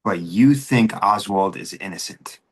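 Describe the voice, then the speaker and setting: A man acts out an angry, confrontational bully for a screenplay table read. The voice sits fairly low. His delivery is blunt and accusatory. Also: measured pace, slightly rough. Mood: angry, accusing.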